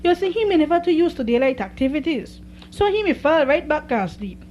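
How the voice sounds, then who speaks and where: A woman's voice: high-pitched, very animated, brisk, darkish tone. A woman with a Belizean Creole accent narrating a folksy animal fable for a radio play. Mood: wry narration. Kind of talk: scripted storytelling for radio play. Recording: faintly noisy live radio recording.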